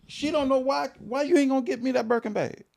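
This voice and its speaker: A pastor in his fifties co-hosting a casual talk show, emphatic and quick to repeat himself. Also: extremely high pitch, vocal fry, darkish tone. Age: 50s. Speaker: pastor and podcast co-host. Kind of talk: casual chat.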